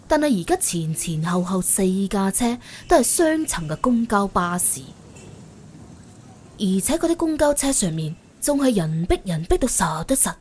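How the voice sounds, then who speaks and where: A woman narrating an audiobook in an animated, colloquial storytelling style with a wry, expressive manner. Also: medium pitch, slight background noise.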